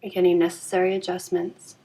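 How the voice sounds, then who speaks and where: A woman leading a guided yoga nidra relaxation in a calm, soothing, unhurried way with long pauses. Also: lowish voice, low-quality recording.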